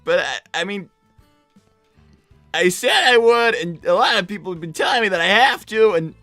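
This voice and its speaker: A performer plays an earnest young boy cartoon fox with a bright, forward voice. He is an exasperated big brother, awkward and prone to flustered stammering, with music behind him. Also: medium pitch, expressive, normal speed, no echo. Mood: nervous, awkward. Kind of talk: improvised character roleplay on a livestream.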